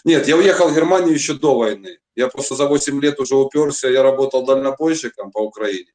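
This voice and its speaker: A man's voice: mid-range voice, fast talker, a little mellow. A middle-aged man from Donetsk, Ukraine, telling his own story at length over a webcam video call, rambling with many fillers and restarts. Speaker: chat roulette guest. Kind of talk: long personal storytelling.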